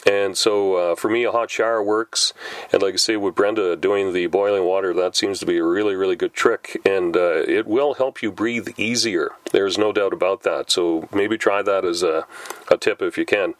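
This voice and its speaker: A middle-aged man with a lowish voice chats on his podcast, slightly stuffed up from a cold. He talks at an easy pace, pausing often on "uh". The sound is dry and close. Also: fairly even intonation, no echo. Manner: unhurried, many "uh" pauses. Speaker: podcast host. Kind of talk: casual solo podcast talk.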